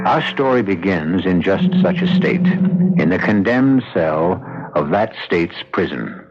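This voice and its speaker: Male host-narrator for mystery radio play. Low, grave, measured, over clear music bed. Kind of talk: solemn scripted radio drama narration. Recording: dull lo-fi old radio broadcast.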